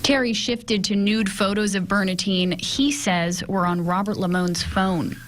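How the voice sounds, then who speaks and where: A woman's voice: medium pitch, fairly even intonation, unhurried, background noise. A woman narrating a scripted television news report as a reporter.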